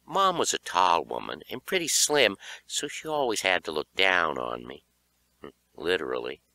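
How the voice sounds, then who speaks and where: Animated male audiobook narrator, deep and bright. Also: normal speed. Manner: animated, expressive delivery. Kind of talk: dramatic scripted storytelling for young horror fiction.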